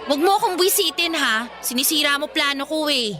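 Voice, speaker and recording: A woman's voice: high voice, lively intonation, brisk, crowd noise. A woman playing a sassy, exasperated teenage schoolgirl who curtly brushes off a suitor in a radio romantic comedy drama. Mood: irritated. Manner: curt, exasperated, dismissive. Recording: background music under dialogue.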